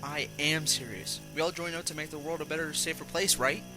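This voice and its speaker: A man's voice: on the high side, steady tone, brisk, music in the background, natural voice. A man playing an idealistic young soldier in a fan audio drama, sincere and eager in his delivery. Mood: earnest.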